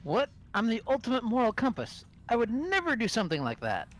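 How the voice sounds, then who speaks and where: A man's voice: very high voice, wildly swooping pitch, fairly quick, full character voice. A man voicing an irritated, self-righteous male student who scolds and accuses in an amateur game dub. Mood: indignant. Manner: loud, accusatory, scolding with childish swearing substitutes. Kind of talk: amateur fully voiced game playthrough.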